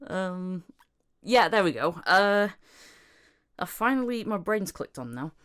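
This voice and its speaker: A woman hosting a casual podcast interview, giggly and quick to laugh as she asks her questions. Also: medium pitch, sing-song, a bit bright, clear, resonant tone. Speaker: podcast host.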